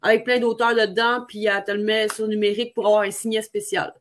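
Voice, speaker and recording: A woman's voice: mid-range voice, little pitch movement, quick pace, slight background noise. A woman with a Quebec French accent hosting a casual live video-call interview, asking informal, rambling questions. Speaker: live show host, interviewer. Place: indoor room, on a video call. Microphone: webcam video call.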